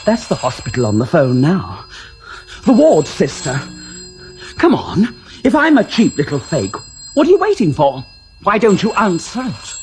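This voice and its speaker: A sly taunting clairvoyant. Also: male voice, high voice, huge pitch swings, darkish tone, exaggerated voice. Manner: slow, teasing, insinuating. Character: sly male clairvoyant, cheap showman. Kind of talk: radio drama acting. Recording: radio play with faint music.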